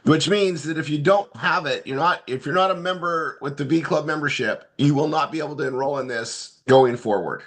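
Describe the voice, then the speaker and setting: A man who is hoarse today, running a live online marketing training with loose, repetitive, upbeat talk. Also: fairly high pitch, brisk, few pauses, fairly bright tone.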